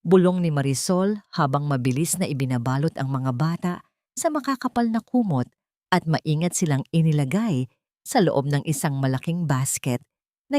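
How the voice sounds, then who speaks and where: Woman, dramatic story narration, slightly low pitch, solemn, emotive, dry close sound. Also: dark tone, dry recording. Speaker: audiobook narrator.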